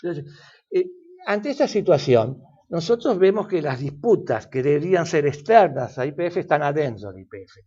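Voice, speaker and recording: A man's voice: a little high, very animated, normal speed, warm tone, slightly rough, dull audio. An older man with an Argentine accent, explaining as an expert guest in an interview, lecturing at length with frequent fillers and restarts. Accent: Argentine Spanish.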